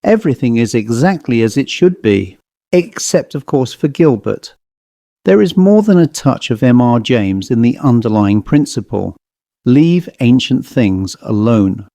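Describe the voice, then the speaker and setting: A man narrating classic ghost stories from a script in a measured, deliberate storytelling manner. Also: medium pitch, expressive, gravelly, dark tone, dry recording. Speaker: narrator and host of horror story readings.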